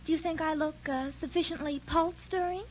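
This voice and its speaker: Casting: actress as coy, self-important teen girl, high-pitched and flowery, old radio comedy with dull, slightly noisy sound. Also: fairly even intonation, fairly quick, close to an everyday voice. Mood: affected, vain. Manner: gushing, airy, self-important. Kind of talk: 1940s radio comedy acting. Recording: muffled, faintly noisy 1940s radio recording.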